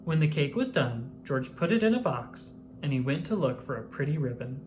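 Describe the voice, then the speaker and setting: A narrator reading a children's picture book aloud in an expressive, measured storytelling style. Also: mid-range voice, soft background music.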